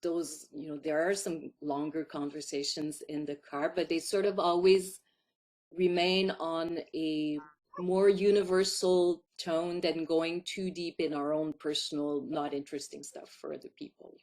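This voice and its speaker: A woman's voice: sits low, fairly even intonation, measured pace, a little mellow. A woman in her fifties from Quebec, a native French speaker with a Quebec French accent, chatting in anecdotes as a filmmaker being interviewed. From Quebec, Canada. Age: 50s. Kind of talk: casual interview.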